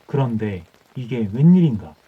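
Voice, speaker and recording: A man's voice: medium pitch, very animated, normal speed, slightly rough. A man reading an old folktale as scripted bedtime audiobook narration. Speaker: audiobook storyteller. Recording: dull muffled audio with music.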